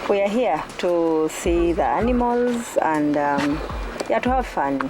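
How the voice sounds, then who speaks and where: Low-voiced woman with clear background noise. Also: lively intonation, slow pace. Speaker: zoo visitor. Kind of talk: informal interview.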